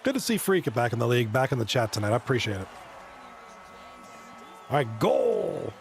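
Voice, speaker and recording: A man with a gravelly, croaky voice that is partly gone, calling hockey video game action live and chatting easily with his viewers. He sounds hoarse and relaxed, and there is a faint hiss of noise behind him. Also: mid-range voice, normal speed. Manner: casual, chatty, talks to chat viewers. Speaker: esports league commentator. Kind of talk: live stream play-by-play and chat reading.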